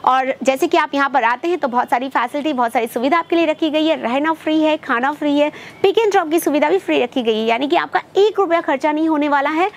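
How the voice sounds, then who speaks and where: A high-voiced young woman hosts a shopping vlog from inside a fabric-stacked shop. She talks to her viewers as friends, moving through the items with lively sales patter. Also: quick pace, few pauses. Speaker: shopping vlogger presenting wholesale lehengas. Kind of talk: product showcase vlog. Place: textile shop full of stacked fabric, indoors.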